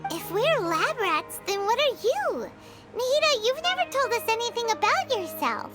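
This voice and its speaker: A woman voicing a tiny, childlike, excitable fairy companion in an anime video game, exclamatory and dramatic. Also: extremely high pitch, normal speed. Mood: puzzled. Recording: background music behind the voice.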